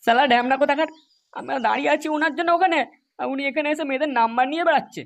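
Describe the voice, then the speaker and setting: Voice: high-pitched, rapid-fire, gravelly. A performer voicing a crude, mocking young village man in a comedy cartoon, scolding and taunting as he talks.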